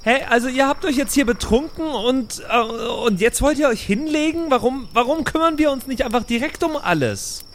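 A man's voice: extremely high pitch, expressive, brisk, few pauses, a little hiss or hum. A man voicing an irritable, quarrelsome woman in an improvised tabletop radio play, speaking in an indignant, nagging manner.

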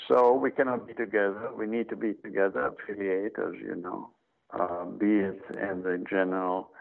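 A man's voice: lowish voice, gravelly. Older Israeli man over a tinny line. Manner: slow, measured, dry humor, soothing. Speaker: psychology professor, guest. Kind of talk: reflective interview answers. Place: home workroom. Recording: tinny phone-line audio.

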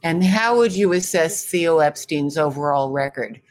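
A woman's voice: low-pitched, animated, normal speed. A woman hosting an interview, with a direct, probing way of talking. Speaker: interviewer, podcast host. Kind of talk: interview questioning.

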